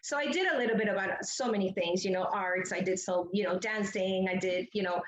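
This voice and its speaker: A woman's voice: medium pitch, a little fast, a little hiss or hum. A middle-aged woman from Ecuador giving a chatty, rambling interview answer as a guest, telling personal stories and often saying "you know". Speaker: interview guest, entrepreneur and public speaker. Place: remote, on a video call. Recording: roomy, muffled video-call audio.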